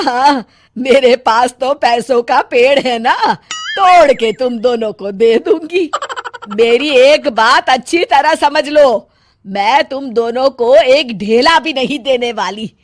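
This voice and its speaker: Woman voicing a shrill scolding old mother-in-law. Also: slow, noisy background. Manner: scolding, shouting insults. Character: bossy harsh older mother-in-law. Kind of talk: storybook character voice.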